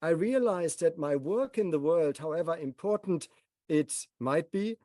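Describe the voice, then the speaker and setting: Mellow man, slow and solemn. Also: fairly high pitch, animated, no room sound, clean studio sound. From Germany. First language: German. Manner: measured, speaks in short solemn phrases. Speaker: speaker at a gathering. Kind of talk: reflective personal talk.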